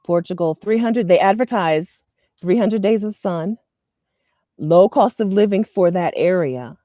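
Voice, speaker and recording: A woman in her thirties from the US East Coast, talking casually to camera with advice, chatty and animated, often laughing and checking in with "okay?". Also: mid-range voice, a little slow, no echo, studio quality. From Delaware, US East Coast. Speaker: vlogger and expat community host. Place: outdoors in front of a leafy green wall.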